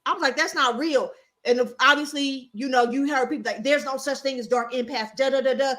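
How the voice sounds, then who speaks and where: A woman co-hosting a casual chat show, animated and swearing freely, often restarting her sentences. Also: high voice, a little fast. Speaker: co-host.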